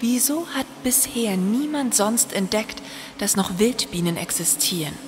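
A woman's voice: mid-range voice, animated, unhurried, long reverb tail, a little hiss or hum, lightly acted. A woman playing a skeptical, reserved programmer in an audio drama, speaking matter-of-factly.

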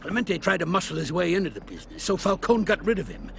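Gravelly, blunt old mobster. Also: male voice, high-pitched, very animated, quick pace, slight background noise, heavily acted. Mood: calm, knowing. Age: older. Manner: blunt, cynical, profane. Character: gruff, shrewd old Italian-American mob elder. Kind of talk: cinematic video game acting.

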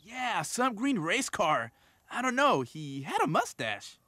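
High-pitched punk street-racer car. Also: male voice, very animated, slow, bright, forceful tone, exaggerated voice. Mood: whiny, defensive. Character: whiny, punkish male street-racer car. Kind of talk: animated video game acting.

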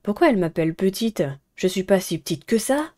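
Mellow-voiced young woman streaming a game. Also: medium pitch, lively intonation, a little fast. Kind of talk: casual playthrough commentary with dialogue read aloud.

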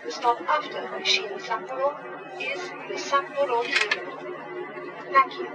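A woman's voice: sits high, slow, over a noisy crowd. A woman reading a polite, formal scripted train announcement. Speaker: English-language train announcer. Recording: distant, noisy onboard recording of train speakers. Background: train engine noise.

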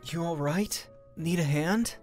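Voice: mid-range voice, unhurried, darkish tone, faint music behind, close to an everyday voice. An actor playing a weary young older-brother hero with a dry, resigned manner in an anime comic dub.

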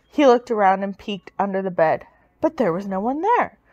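A woman reading a children's story aloud with expressive, dramatic, emphatic delivery. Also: medium pitch, moderate pace, lo-fi sound. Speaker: storybook reader.

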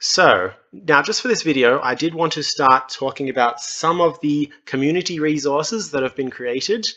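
Bright-voiced Australian man, chatty. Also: fairly high pitch, normal speed. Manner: chatty and self-deprecating, with many fillers. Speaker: YouTube host. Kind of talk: casual screen-share walkthrough. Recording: slightly dull lo-fi sound.

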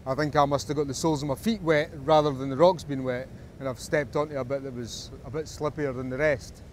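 A man, recalling an ordeal in an interview, talking in halting bursts with restarts. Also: medium pitch, fairly even intonation, a little hiss or hum. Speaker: rescued man.

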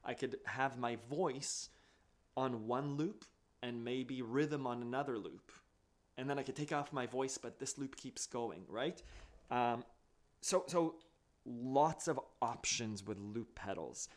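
A man in his thirties, an accordion teacher, explaining things step by step in a relaxed live-stream lesson from a small home music room. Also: medium pitch, slow. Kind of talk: casual live-stream demonstration. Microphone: studio mic on boom arm, wearing headphones. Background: accordion and looped music.

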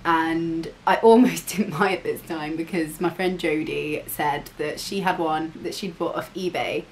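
A young woman in her mid-twenties talks through her purchases in a chatty, bubbly way, tossing in quick asides. She sits in her bedroom and speaks straight to a camera mic. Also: low voice, fairly even intonation, a little hiss or hum. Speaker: vlogger. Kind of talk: casual haul vlog. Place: bedroom, indoors. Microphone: camera mic, seated facing camera.

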